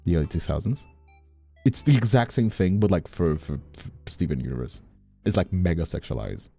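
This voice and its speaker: A man chatting casually on a livestream, emphatic and animated, often repeating himself as he makes a point. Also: low voice, a little fast, vocal fry, soft background music. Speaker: streamer. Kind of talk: casual conversational chat on a livestream.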